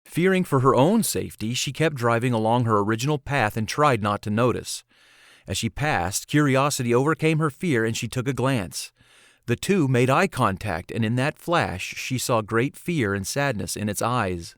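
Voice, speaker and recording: A man's voice: medium pitch, animated, normal speed, a bit bright, no echo, studio quality. A man narrating a scripted fantasy story as an audiobook narrator. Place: close, dry recording space.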